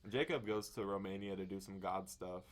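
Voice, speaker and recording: A young man with a deep voice co-hosting a casual podcast, laid-back and joking. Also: steady tone, a little fast, background noise. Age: young adult. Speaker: podcast co-host. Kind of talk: casual chat.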